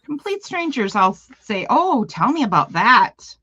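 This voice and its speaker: A woman chats eagerly on a craft livestream, curious about everyone and quick to laugh. Her voice sits fairly high, and the sound is dry and slightly dull, like lo-fi stream audio. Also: big pitch swings, bright, forceful tone, husky, no echo. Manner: curious, gushing, laughs often. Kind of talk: casual chat.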